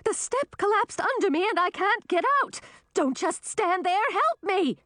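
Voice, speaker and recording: A woman voicing a cross, imperious old queen in an audiobook, with a shrill, bossy, scolding delivery. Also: lively intonation, measured pace, a bit bright, no room sound, full character voice. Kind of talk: audiobook character voice.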